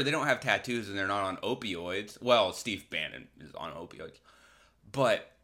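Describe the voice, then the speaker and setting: American male podcast host, bright punchy tone, sardonic and rambling, casual comic delivery. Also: low voice. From Massachusetts, United States. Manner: rambling, irreverent, crude, sardonic. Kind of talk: casual comedic monologue.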